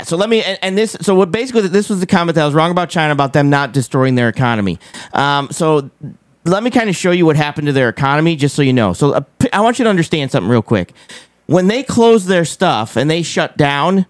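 Male live-show host, slightly high squeaky voice, casual but emphatic commentator, repeats for stress, dry close sound. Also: lively intonation, fast, dry recording.

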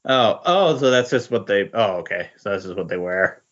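A man chatting casually as a podcast co-host, tentative and halting as he reacts. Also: on the low side, animated. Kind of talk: casual chat. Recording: dull lo-fi voice recording.

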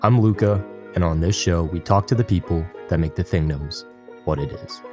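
A man's voice: low-pitched, normal speed, over music. A man hosting a podcast, asking friendly, encouraging interview questions. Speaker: podcast host.

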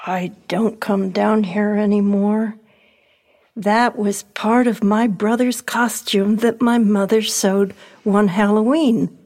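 A woman acting in an audio drama as a frail, fearful elderly widow, speaking in a hesitant, gentle, wistful way. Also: mid-range voice, fairly even intonation, slow.

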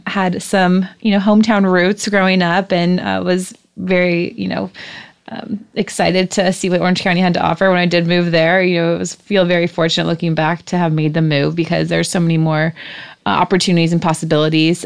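Woman, Californian accent, heavy vocal fry, lowish pitch, casual chat, podcast guest.

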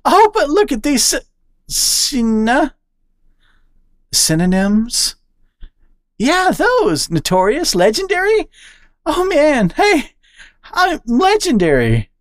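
A woman voicing a bubbly, brash young female squirrel who blurts things out, with a squeaky excited voice. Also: a little slow, no echo, clean studio sound. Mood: excitable. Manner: loud, excitable, high-pitched blurting.

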